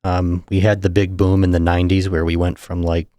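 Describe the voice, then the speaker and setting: A young man from New Hampshire, a political candidate, explaining things in a measured, casual interview manner. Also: low-pitched, level tone, warm tone, no room sound, clean studio sound. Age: young adult. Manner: measured, explanatory, chuckles between points.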